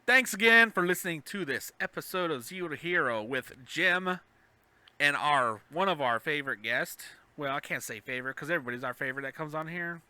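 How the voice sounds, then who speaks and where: A man's voice: a little high, animated, brisk, pushed and bright. A man co-hosting a podcast in casual fan chat. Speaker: podcast co-host.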